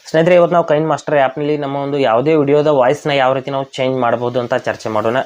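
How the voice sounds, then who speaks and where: A man's voice: medium pitch, fairly even intonation, fast talker, darkish tone. A man from Karnataka with an Indian accent, hosting a step-by-step app tutorial. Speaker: tech tutorial host. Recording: dull, lo-fi voice recording.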